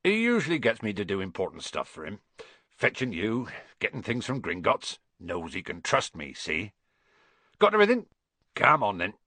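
Brief: good-natured giant, gruff and homely, swinging tone, a man reading him. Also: fairly low pitch, huge pitch swings, moderate pace, sharp tone, no room sound, heavily acted.

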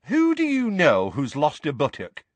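A man's voice: high-pitched, big pitch swings, normal speed, no echo, voice pushed up. A man voicing a cheeky, playful young witch in an audiobook. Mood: curious.

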